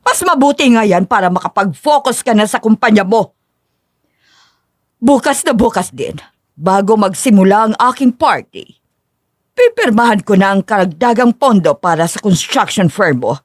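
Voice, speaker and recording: A woman's voice: medium pitch, expressive, normal speed, no room sound, studio quality, lightly acted. A woman playing a haughty, cruel older mother-in-law in a dramatized audio story, disdainful and imperious. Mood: scornful.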